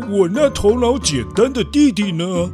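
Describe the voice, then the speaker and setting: A man's voice: very high voice, pitched above the performer's own voice, full character voice. A man voicing a smug young eldest prince with a sneering, taunting manner in a children's audio drama.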